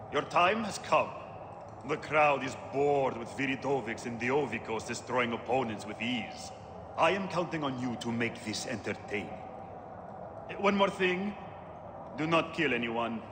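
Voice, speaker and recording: An actor playing a condescending, scheming fight boss in a video game cutscene, speaking in a commanding, theatrical way. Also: male voice, medium pitch, long reverb tail, background noise, close to an everyday voice. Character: imperious, scheming male arena fight boss. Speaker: arena boss and fight announcer.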